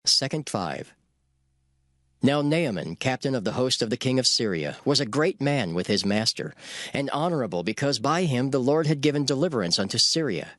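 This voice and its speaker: A man narrating dramatized scripture in a measured, solemn storytelling manner. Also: medium pitch, animated, fairly bright tone, no room sound, studio quality. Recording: faint music behind the voice.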